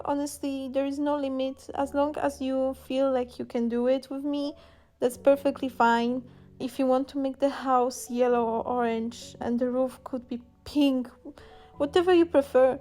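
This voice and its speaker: High-voiced young Polish woman, casual. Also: dark tone. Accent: Slavic, Polish. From Poland. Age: young adult. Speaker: art teacher and illustrator hosting a painting video. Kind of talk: casual chatty painting voice-over.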